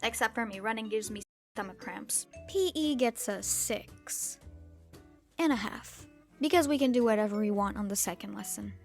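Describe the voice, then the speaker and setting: A woman's voice: mid-range voice, normal speed, vocal fry, soft background music. A teenage girl from Turkey delivering a scripted vlog monologue in a wry, sarcastic way, with sudden comic outbursts. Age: teens. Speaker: YouTuber.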